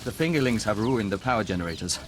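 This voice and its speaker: An actor playing a gentle, formal envoy of an insect hive in a video game, measured and precise. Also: male voice, lowish voice, lively intonation, very noisy, natural voice. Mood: calm, helpful.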